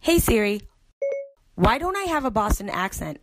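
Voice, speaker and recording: A woman's voice: mid-range voice, big pitch swings, moderate pace, noisy background. A woman hosting a casual podcast who poses playful, mock-serious questions. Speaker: podcast host.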